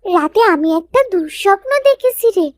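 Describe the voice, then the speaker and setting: A woman voicing an excitable, easily frightened young village girl in a cartoon story. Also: extremely high pitch, normal speed, no room sound. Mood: curious, chatty.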